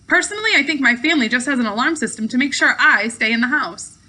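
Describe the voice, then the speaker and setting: A woman's voice: sits high, lively intonation, quick pace, pushed and bright, full character voice. A woman voicing a bold, breezy, sarcastic young teen girl in a storybook read-aloud. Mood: smug, mischievous. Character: bold, flippant young teen girl, mischievous hidden child.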